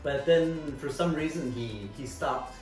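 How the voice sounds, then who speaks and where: A middle-aged man answering interview questions in a measured way, often pausing with "uh". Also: fairly high pitch, darkish tone. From United States, Pennsylvania. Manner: measured, with frequent "uh" pauses. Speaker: guest, parent. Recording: roomy, distant mic, faint music.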